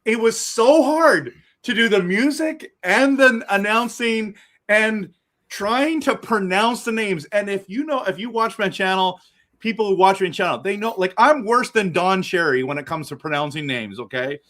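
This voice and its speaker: Excitable rambling man hosting a chat. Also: high-pitched, moderate pace. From Canada. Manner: excitable, rambling, many restarts. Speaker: host. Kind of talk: casual interview chat.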